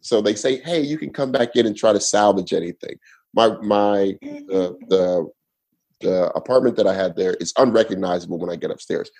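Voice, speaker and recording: A man's voice: on the low side, moderate pace, a little mellow, slightly rough. A man telling a long personal story in a casual podcast chat, laid-back and rambling with restarts. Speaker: guest storyteller.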